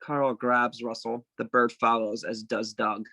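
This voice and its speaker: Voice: mid-range voice, little pitch movement, measured pace, pushed and bright, dry recording. A narrator reading stage directions informally at a casual table read, a little amused.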